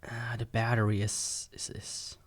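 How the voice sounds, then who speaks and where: A man's voice: lowish voice, flat delivery, unhurried, breathy edge. A man giving a casual, unscripted product review in a rambling, informal, skeptical way. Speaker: gadget reviewer.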